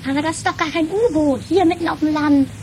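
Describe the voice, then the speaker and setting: Naive, romantic young daughter, played by a woman, extremely high and girlish, stubborn whine, improvised radio comedy over noisy tape. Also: quick pace, darkish tone. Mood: eager, pouting. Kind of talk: improvised 1980s trash comedy radio play. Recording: noisy lo-fi 1980s amateur radio recording.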